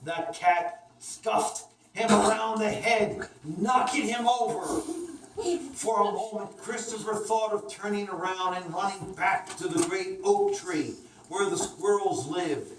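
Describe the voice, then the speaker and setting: Puppet show narrator: woman, medium pitch, unhurried and expressive, heard at a distance in a room. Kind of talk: expressive children's story narration. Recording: echoey room, distant mic, clear noise.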